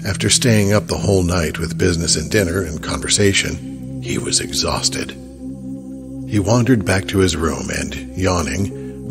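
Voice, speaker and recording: A man narrating a horror story in a measured, steady way. His voice is very deep and gravelly, with clear music playing beneath it.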